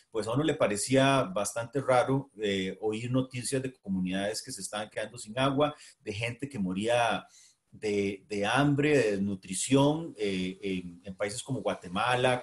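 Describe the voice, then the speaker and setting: A middle-aged Costa Rican man giving a university lecture, with a Costa Rican accent, pausing often with fillers and restarting his phrases. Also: lowish voice. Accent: Costa Rican Spanish. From Costa Rica. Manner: hesitant, many fillers and restarts. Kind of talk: academic lecture.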